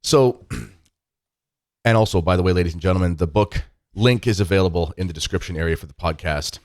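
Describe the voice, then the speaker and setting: A man's voice: sits low, normal speed, darkish tone, no room sound, clean studio sound. A man hosting an interview podcast, talking in a friendly, rambling way with many fillers. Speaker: podcast host.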